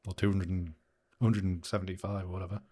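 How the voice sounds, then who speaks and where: A man's voice: deep voice, little pitch movement, quick pace, dark tone. A man hosting a live stream, chatting casually and rambling while he edits photos, often laughing and answering comments from chat. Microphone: new studio microphone.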